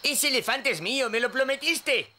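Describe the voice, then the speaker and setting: An actor voicing a greedy, indignant ivory buyer in a dubbed cartoon, whining and demanding. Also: male voice, very high-pitched, lively intonation, normal speed.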